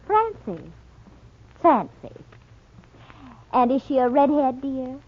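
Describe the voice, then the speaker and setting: High, husky inquisitive wife. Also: female voice, big pitch swings, takes it slowly, exaggerated voice. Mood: suspicious, teasing. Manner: probing, pert. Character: inquisitive, teasing wife playing amateur sleuth. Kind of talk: 1940s radio acting. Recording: muffled, narrow-band 1940s radio recording.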